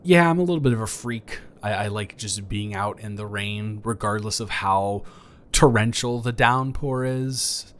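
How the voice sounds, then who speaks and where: Rambling, low-voiced young man next door, acted by a man. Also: fairly bright tone. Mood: relaxed, rambling. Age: young adult. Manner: rambling, halting, self-correcting, awkwardly casual. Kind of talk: improvised romantic roleplay audio, casual chat.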